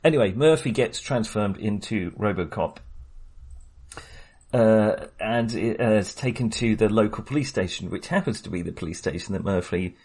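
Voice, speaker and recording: A man with a somewhat low, husky voice talks through a film in a relaxed, rambling way. He hesitates a lot, restarts his sentences and breaks into laughter. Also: moderate pace. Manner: chatty, hesitant, many fillers and restarts, laughs often. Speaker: podcast co-host. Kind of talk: casual film discussion.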